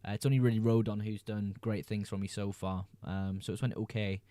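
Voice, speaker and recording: Young man, livestream host, reading chat aloud, laid-back, low voice, dry sound. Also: fairly even intonation, fairly quick, warm tone, no echo. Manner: laid-back, reads chat messages aloud then answers. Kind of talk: casual livestream chat answering viewer comments.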